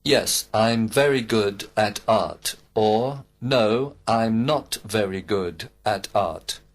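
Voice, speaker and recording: Slow male model student. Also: on the low side. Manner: slow, complete textbook sentences. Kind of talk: scripted full-sentence answers.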